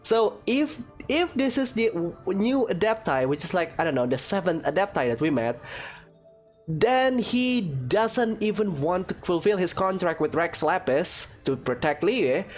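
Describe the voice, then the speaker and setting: A woman's voice: lowish voice, big pitch swings, unhurried, darkish tone. A woman giving casual, teasing, sceptical commentary as she plays through a video game. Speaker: gaming video host. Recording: faint game music behind.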